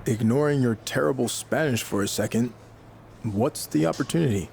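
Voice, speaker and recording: A man's voice: mid-range voice, normal speed, gravelly, darkish tone, a little hiss or hum, lightly acted. A man playing a confident, quipping young wrestling trainee with a dry delivery, in a video game cutscene.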